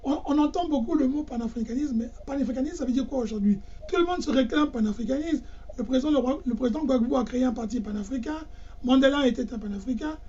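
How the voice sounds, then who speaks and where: A man's voice: very high voice, quick pace, mellow, slightly rough, dull audio. A man hosting an interview, with hesitant, stammering restarts. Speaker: radio and TV host.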